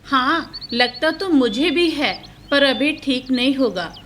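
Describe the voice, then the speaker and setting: Woman as a bright, high cartoon mother bird. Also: lively intonation, slow pace, a little hiss or hum, exaggerated voice. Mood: cautious. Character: gentle, protective mother bird. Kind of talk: Hindi moral-story cartoon dubbing.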